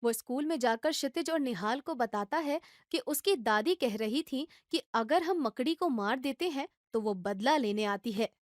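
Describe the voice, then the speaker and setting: A woman reading scripted storybook narration for a children's cartoon in an even storytelling way. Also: high voice, moderate pace, hardly any pauses, dry recording, studio quality.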